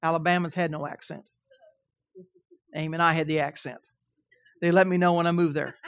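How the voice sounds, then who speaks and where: A man in his fifties with a Southern twang delivers a sermon in a higher-than-usual voice, speaking conversationally and pausing often to check that his listeners are following. The recording is dry and a little dull. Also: little pitch movement, no echo. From Kansas. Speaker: pastor.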